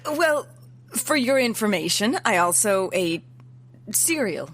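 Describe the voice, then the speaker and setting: A woman's voice: medium pitch, very animated, slow, fairly bright tone, full character voice. An actress playing a wry, playful young woman who talks informally and sarcastically in an audio drama. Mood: sheepish, defensive. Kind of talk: naturalistic audio drama dialogue.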